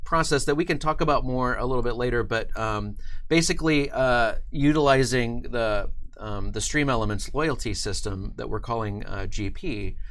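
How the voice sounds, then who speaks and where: Halting, casual man hosting a live stream. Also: mid-range voice, a bit bright. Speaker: stream host and behind-the-scenes producer.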